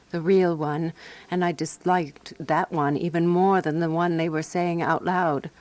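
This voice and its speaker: Actress playing prim, low-voiced woman narrator. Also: darkish tone, lightly acted. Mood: jealous, prim. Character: Alice B. Toklas, prim, jealous, watchful woman companion narrating. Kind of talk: radio drama first-person narration.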